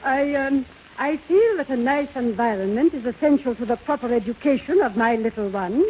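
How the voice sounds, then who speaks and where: A genteel, proper matron of old radio comedy, hesitant and easily flustered. Her high voice comes through a dull vintage recording with faint noise. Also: animated, normal speed. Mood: prim. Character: prim, genteel, easily flustered well-to-do mother. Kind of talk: 1930s radio comedy acting. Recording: muffled, faintly noisy 1930s radio recording.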